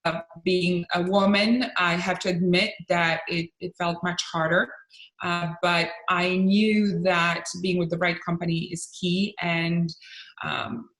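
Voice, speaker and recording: An earnest woman from Bosnia and Croatia, a real estate agent being interviewed. Her voice sits a little low, and she speaks in a measured way, pausing to pick her words. The recording carries a roomy echo. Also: little pitch movement, a bit bright. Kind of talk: interview conversation.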